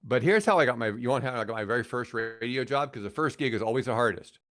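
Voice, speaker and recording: A man's voice: mid-range voice, expressive, fast, fairly bright tone. A man in his sixties telling stories casually as a podcast guest, rambling and circling back to rephrase. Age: 60s. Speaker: podcast guest, former radio broadcaster. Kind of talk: casual storytelling in a podcast interview.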